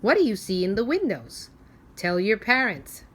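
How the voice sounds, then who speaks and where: A woman teacher leading a read-aloud for young children, asking simple questions and pausing for them to think. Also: mid-range voice, sing-song, fairly bright tone, a little hiss or hum.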